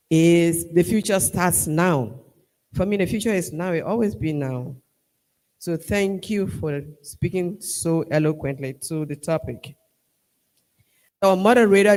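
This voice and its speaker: A man with a Liberian accent giving a formal vote of thanks at a ceremony, measured and emphatic, rallying the crowd. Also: sits high, darkish tone. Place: large hall at a university ceremony. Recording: long reverb of a large hall.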